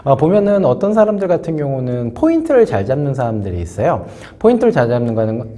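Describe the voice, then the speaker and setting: A man's voice: medium pitch, dark tone. A man hosting a spoken business lesson, talking casually with many fillers and asides while nursing a sore throat from a cold. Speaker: host giving a business lesson. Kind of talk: casual spoken lecture to camera.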